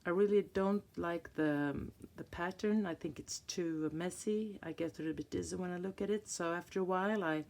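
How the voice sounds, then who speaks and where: Swedish lady in her 50s, low gravelly voice, slow and unsure, lots of restarts while she flips her planner. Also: a little mellow. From Sweden. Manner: hesitant, searching for words, many restarts. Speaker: planner vlogger. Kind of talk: casual flip-through chat. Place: indoors at a table, hands on a planner.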